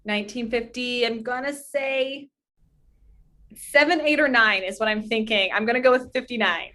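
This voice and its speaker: High-voiced woman in casual interview. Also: moderate pace, pushed and bright. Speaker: podcast guest, charity advocate.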